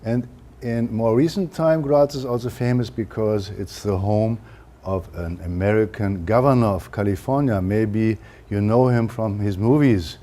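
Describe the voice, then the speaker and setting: A man with a German accent, a native German speaker giving a university lecture in a careful, halting way with frequent fillers. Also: lowish voice, dark tone. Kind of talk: academic lecture.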